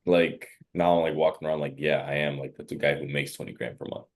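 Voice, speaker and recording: A man's voice: rumbling low, fairly even intonation, fast. A young man on a sales call answering hesitantly, filling his speech with "like.". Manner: hesitant, heavy use of "like". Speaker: sales prospect. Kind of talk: casual phone conversation.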